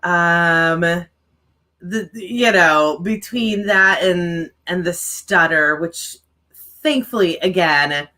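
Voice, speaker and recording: Woman co-host with a mild stutter and a bright, forceful tone, rambling casually to an online audience. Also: fairly low pitch, takes it slowly. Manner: rambling, with many fillers. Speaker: writing livestream co-host and author. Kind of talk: casual chat to viewers.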